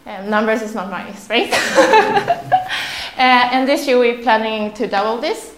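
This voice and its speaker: A woman's voice: a little high, animated, unhurried. Nervous Swedish-accented woman in an echoey room. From Sweden. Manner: chatty, laughs often, self-deprecating. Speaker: speaker. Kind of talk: nervous live talk to a small audience. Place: room with audience.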